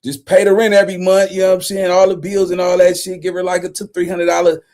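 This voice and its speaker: High-pitched man giving slangy street commentary. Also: quick pace. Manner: rambling, slangy, animated hustler talk. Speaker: streamer commenting on news. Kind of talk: casual street-talk commentary.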